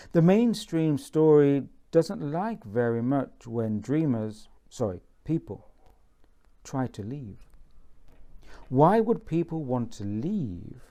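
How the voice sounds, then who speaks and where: A man reading from his own book in a measured bedtime-story style, deliberate and pausing between phrases. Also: mid-range voice, dark tone.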